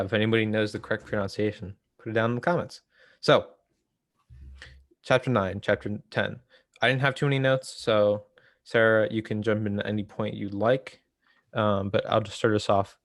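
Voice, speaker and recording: A man with a fairly low voice leads a casual book discussion. He explains haltingly, thinking aloud as he goes. The sound is dry, with very little room to it. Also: a bit bright, no room sound. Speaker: host of a political book read-along.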